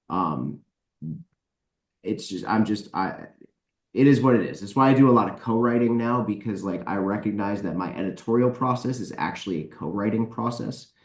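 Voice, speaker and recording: A man in a casual podcast discussion, speaking emphatically and repeating words to drive points home. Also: lowish voice. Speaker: podcast guest, author and editor. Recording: roomy, echoey voice pickup.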